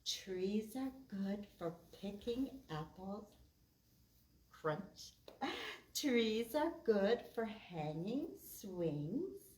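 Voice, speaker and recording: A woman reads and recites for small children as a storytime host. She speaks very slowly and expressively, in simple phrases. She sounds a little distant from the mic, with clear room echo around her. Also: medium pitch, sing-song, a little mellow. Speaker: preschool storytime host at a library. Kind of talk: children's storytime, reciting rhymes and reading a picture book aloud.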